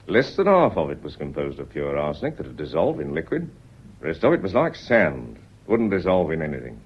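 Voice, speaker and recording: An actor playing a courteous but relentless police inspector in a radio play, questioning in a deliberate, insistent way. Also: male voice, rumbling low, wildly swooping pitch, slightly rough, lo-fi sound, heavily acted. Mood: measured, probing.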